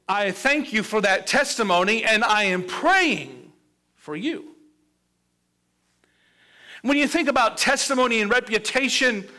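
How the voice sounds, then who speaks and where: High-voiced bright pastor preaching. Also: male voice. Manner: preaching with steady build-ups and pauses, gesturing at a pulpit. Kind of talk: sermon. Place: church sanctuary with a colorful children's stage set.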